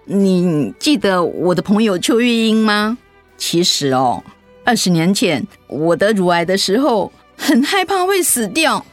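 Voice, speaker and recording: An expressive, pouty Taiwanese wife. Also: female voice, mid-range voice, measured pace, soft background music. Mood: confiding. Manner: pouting, questioning. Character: sensitive, pouty middle-aged wife, affectionate but easily hurt. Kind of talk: radio drama dialogue.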